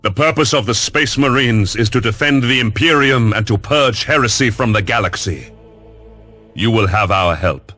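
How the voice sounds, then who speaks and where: Stalwart mid-pitched space warrior. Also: male voice, normal speed, sharp tone, no room sound, faint music behind. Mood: solemn pledge. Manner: formal, declarative. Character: proud, stalwart male space warrior, solemnly devout. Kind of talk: video game cutscene acting.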